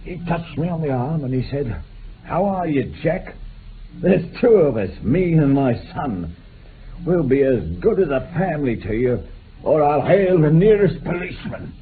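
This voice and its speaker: An actor playing a remorseful, dying old former bushranger in a radio drama, making an impassioned, halting confession. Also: male voice, a little high, animated, natural voice. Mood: bitter. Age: older. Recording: muffled phone-like old radio recording, hiss.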